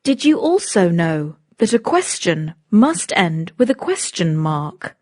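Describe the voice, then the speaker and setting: A woman reads out a vocabulary list for learners, pausing after every single word. Her pitch moves up and down a lot, and she keeps a very slow, deliberate pace. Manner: reads each word on its own, with lively intonation. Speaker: lesson narrator.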